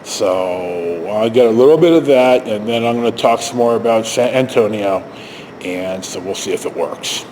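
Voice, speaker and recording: An older, retired man telling stories casually to camera in a halting, rambling, self-correcting way. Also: fairly low pitch, background noise. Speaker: video storyteller.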